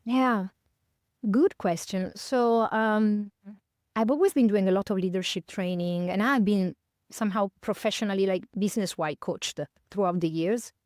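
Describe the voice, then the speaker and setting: Italian woman in her fifties, smooth voice. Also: mid-range voice, normal speed, a little mellow, no room sound, clean studio sound. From northern Italy, near Milan. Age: 50s. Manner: thoughtful, many fillers and self-corrections. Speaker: podcast guest. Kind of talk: reflective conversational interview.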